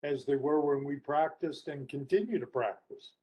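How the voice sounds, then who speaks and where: An older man speaking as a panelist in a webinar, explaining his work in a measured, earnest way. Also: on the high side, darkish tone, slight background noise, poor audio.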